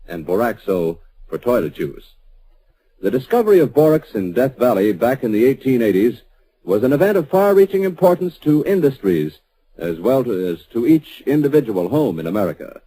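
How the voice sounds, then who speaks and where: A man's voice: mid-range voice, mellow, poor audio. A man reading a formal, polished sponsor announcement in 1940s radio announcing style. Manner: formal, polished commercial read.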